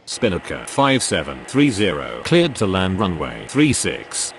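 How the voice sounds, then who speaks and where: A man's voice: low-pitched, wildly swooping pitch, measured pace, a little hiss or hum, heavily acted. A man voicing an aviation radio figure in a video game, delivering scripted, clipped procedural calls. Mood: businesslike. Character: adult male airline pilot and air traffic radio voice.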